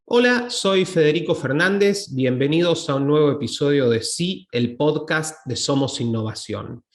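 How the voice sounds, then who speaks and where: A man with a Latin American Spanish accent and a somewhat high voice hosts an interview podcast. He stumbles a little and repeats words as he poses questions. The room adds a noticeable echo. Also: lively intonation, normal speed. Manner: hesitant, repeats words when switching to English. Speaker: podcast host.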